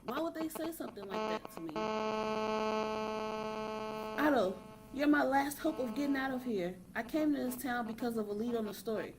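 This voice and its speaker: Woman playing a scared trapped lady begging on a voicemail, halting, kinda high voice, lots of hum and noise, horror podcast. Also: natural voice. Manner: pleading, halting, repeats herself. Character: frightened, pleading missing woman trapped in sinister town. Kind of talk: horror audio drama voicemail. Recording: noisy voicemail with steady electrical hum.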